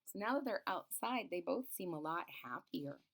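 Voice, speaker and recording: A woman's voice: lowish voice, lively intonation, moderate pace, vocal fry, a bit bright. A woman reads a children's picture book aloud expressively, adding simple explanations as a teacher would. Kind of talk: children's storybook read-aloud with asides.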